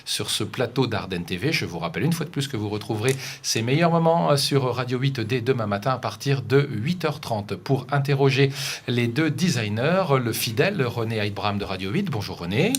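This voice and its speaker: TV host, man, mid-pitched, jovial and playful, faint studio hum. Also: expressive, fairly quick. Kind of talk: studio interview hosting.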